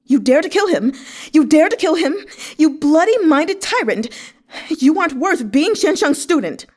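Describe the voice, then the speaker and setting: A woman voicing an indignant male government official, forceful and accusing, in a story reading. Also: sits high, sing-song, moderate pace, voice pushed up. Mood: enraged.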